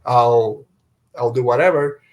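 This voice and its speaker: Male podcast host, mid-pitched, casual discussion delivery. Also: unhurried.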